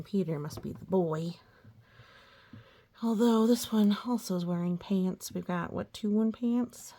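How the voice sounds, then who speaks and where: Woman storyteller, unhurried, creaky voice, picture-book read-aloud, chatty enthusiastic asides. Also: mid-range voice, dark tone.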